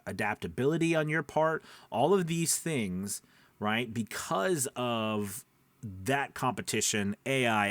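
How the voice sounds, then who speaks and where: A man's voice: mid-range voice, fairly bright tone, no room sound. A man giving informal, off-the-cuff coaching as a host, rambling and emphatic, repeating points and often checking in with listeners. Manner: frequent check-in tags. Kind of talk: informal off-the-cuff teaching talk.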